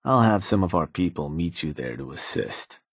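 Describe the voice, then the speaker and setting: An actor playing a sly, cynical gang advisor in a video game, with a husky, laid-back, slangy delivery and an undertone of menace. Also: male voice, lively intonation, normal speed, dry recording, studio quality. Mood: businesslike, encouraging. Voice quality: husky, low. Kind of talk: video game character dialogue.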